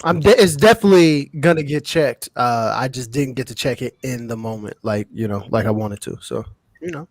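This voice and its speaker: A man's voice: medium pitch, fairly quick, no room sound. A man co-hosting a podcast, chatting casually in a laid-back, rambling, reflective way. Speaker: podcast co-host. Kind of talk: casual chat.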